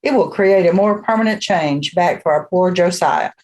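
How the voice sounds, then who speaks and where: A woman's voice: on the low side, moderate pace, a little mellow, natural voice. A woman playing a stern, haughty leader of a rural witch coven in a radio play, speaking in a formal, condescending way. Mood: quietly menacing.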